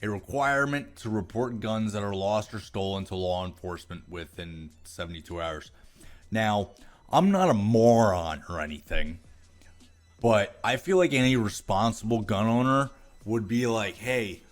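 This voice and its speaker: An American man with a fairly low voice reads aloud and reacts in a scornful, sarcastic commentary. He swears freely, and faint music plays underneath. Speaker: video commentator.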